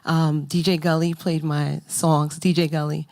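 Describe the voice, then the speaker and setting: A woman's voice: low-pitched, level tone, unhurried, darkish tone, no room sound. A young woman in the audience, talking eagerly into a handheld mic. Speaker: audience member. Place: audience in an indoor hall.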